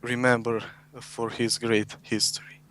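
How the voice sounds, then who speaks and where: Guest, male, blunt and sure of himself, bright tense tone over a call. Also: medium pitch, flat delivery, a little slow. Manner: blunt, confident. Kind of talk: casual chat over a video call. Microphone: video call.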